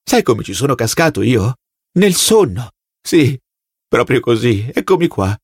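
A man's voice: mid-range voice, very animated, slightly rough, no room sound, clean studio sound, full character voice. Man voicing a whiny, agitated plump party loyalist. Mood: solemn, self-pitying. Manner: whining, rapid-fire questions with tag words.

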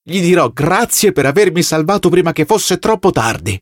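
A man's voice: on the high side, big pitch swings, dry recording, clean studio sound, pitched above the performer's own voice. A man voicing a plump, servile, agitated party loyalist who whines and pleads in an audiobook. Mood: mournful pride. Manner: whining, rapid-fire questions with tag words.

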